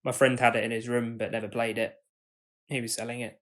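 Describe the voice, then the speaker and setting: A man's voice: medium pitch, flat delivery, a little fast, lightly acted. Casting: actor as kind, socially awkward young man, tentative and earnest, audio drama dialogue. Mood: kind, shy.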